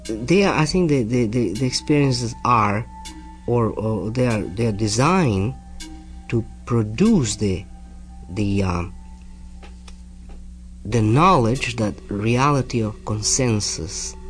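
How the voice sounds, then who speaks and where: A man explaining ideas spontaneously, haltingly searching for words and repeating himself. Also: medium pitch, expressive. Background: faint music.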